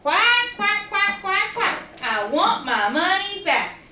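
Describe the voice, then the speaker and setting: A woman voicing a small, stubborn male duck who quacks loudly in a singsong chant. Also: very high voice, expressive, takes it slowly, distant mic, pitched above the performer's own voice, full character voice. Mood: singsong demanding. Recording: echoey room, off mic.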